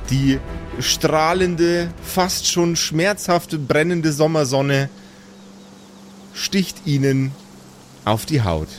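A man narrating an improvised tabletop fantasy game dramatically as game master. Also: on the high side. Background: music.

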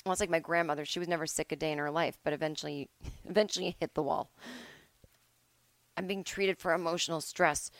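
A woman host reads court transcript aloud for laughs, breaking off for wry asides. Her voice sits on the low side. It is recorded close and dry at home. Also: fairly even intonation, quick pace, dry recording. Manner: wry asides, mock-dramatic delivery. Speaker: podcast host. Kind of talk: comedic dramatic reading, casual commentary.

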